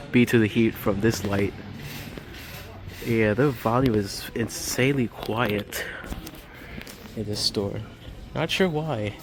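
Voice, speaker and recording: A man giving casual walkthrough commentary as a vlogger on a handheld recording inside a large warehouse store. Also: mid-range voice. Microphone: handheld phone or camera. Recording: clear store ambient noise.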